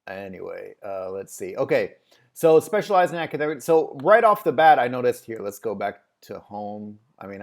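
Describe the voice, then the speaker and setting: A man's voice: medium pitch, a little mellow. A man hosting a casual screen-share critique, thinking aloud with lots of fillers and restarts as he clicks through. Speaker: host reviewing a website.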